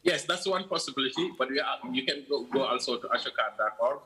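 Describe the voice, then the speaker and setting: A man's voice: medium pitch, animated, fast, pushed and bright, poor audio. A man answering interview questions in an earnest, reflective way, often saying "you know.". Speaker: guest from a changemaker organisation.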